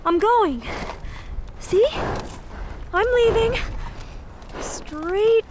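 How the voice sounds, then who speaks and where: A woman's voice: very high voice, very slow, background noise, exaggerated voice. An actress playing a troubled, searching young woman in a naturalistic radio drama, introspective and hesitant. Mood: alarmed, shooing. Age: young adult. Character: troubled, searching young woman torn over family secrets.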